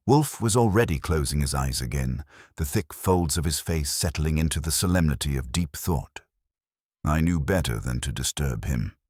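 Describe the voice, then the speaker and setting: A man narrating a first-person detective story in a measured, dramatic storytelling delivery. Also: very deep voice, no room sound, studio quality. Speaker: audiobook narrator.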